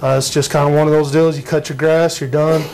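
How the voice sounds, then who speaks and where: A man's voice: on the high side, little pitch movement, fast, gravelly, darkish tone, low-quality recording. A man appearing as a guest on a TV kitchen segment, explaining things simply and hesitantly, step by step. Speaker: guest bar employee explaining beers. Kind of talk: informal demonstration. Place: TV studio kitchen.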